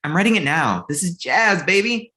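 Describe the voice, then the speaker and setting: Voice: mid-range voice, expressive, moderate pace, bright, forceful tone, slight background noise. An actor playing a glib, self-absorbed amateur male film director in a comedy table read, breezy and confident. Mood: defensive, breezy.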